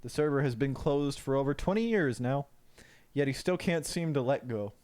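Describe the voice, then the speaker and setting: A man's voice: medium pitch, lively intonation, moderate pace, darkish tone. Mock-solemn male story narrator. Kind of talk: scripted dramatic story narration.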